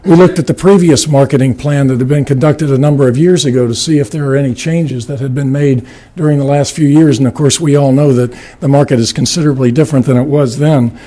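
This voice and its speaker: A man with a raspy voice giving an earnest presentation to a city board, with a few fillers and restarts. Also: medium pitch, steady tone, warm tone. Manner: earnest, slightly halting with fillers. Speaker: marketing firm presenter. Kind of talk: public presentation at a city meeting. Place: city commission meeting room.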